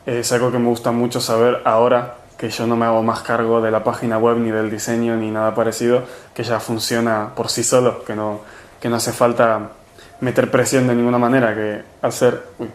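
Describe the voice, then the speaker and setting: Argentine man, interviewee; flat delivery, halting with fillers, echoey room. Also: medium pitch. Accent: Argentine Spanish. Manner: hesitant, many fillers and restarts. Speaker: movement organizer. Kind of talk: interview answers.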